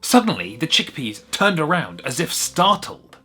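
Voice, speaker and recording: Man, whimsical story narration, wry conversational asides, very lively intonation, bright tone, faint music behind. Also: fairly high pitch, normal speed.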